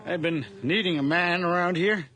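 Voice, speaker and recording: A man's voice: sits high, normal speed, sharp tone, slight background noise. A man playing a kindly, lonely old widowed farmer in a 1980s radio drama, speaking in a plain, folksy, hesitant way. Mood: wistful, plain. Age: older.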